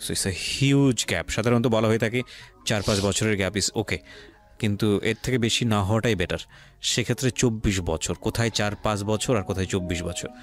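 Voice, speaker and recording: A man's voice: lowish voice, moderate pace, soft background music. A man with a Bangladeshi accent hosting a radio show, questioning and advising listeners, mixing in English phrases. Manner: mixes English phrases into speech. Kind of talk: interviewing and commenting to listeners.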